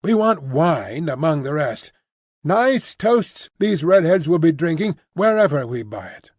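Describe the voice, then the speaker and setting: A man's voice: fairly high pitch, big pitch swings, unhurried, a little mellow, no room sound, studio quality. A man voicing a fussy, fiercely loyal Englishwoman housekeeper who talks emphatically and primly. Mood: emphatic. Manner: emphatic, bustling, prim.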